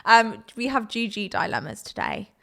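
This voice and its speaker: A woman co-hosts a relaxed podcast chat. Her voice has a gravelly fry at the ends of phrases. She laughs easily and keeps breaking off and restarting her sentences. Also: medium pitch, moderate pace. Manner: chatty, laughs often, self-interrupting. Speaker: podcast co-host. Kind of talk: casual chat.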